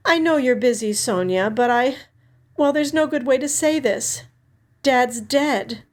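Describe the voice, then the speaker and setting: A woman reader plays a dutiful older sister in grief, her voice lifted noticeably high. She speaks gently and earnestly, with a self-sacrificing air, as in an audiobook story. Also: measured pace. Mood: hesitant, grave. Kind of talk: audiobook character dialogue.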